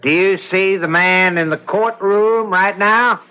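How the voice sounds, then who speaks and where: A man's voice: high-pitched, smooth. A man acting a methodical courtroom attorney, formal and persistent in questioning, in an old-time radio drama. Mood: brisk, assured. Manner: formal, deliberate, probing. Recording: dull lo-fi vintage radio recording.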